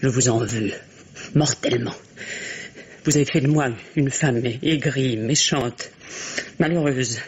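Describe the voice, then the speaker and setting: An actress playing a bitter, spiteful middle-aged wife in a French radio drama, biting and sardonic. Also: female voice, extremely low pitch, a little slow, long pauses, husky, full character voice. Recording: dull, noisy old radio recording.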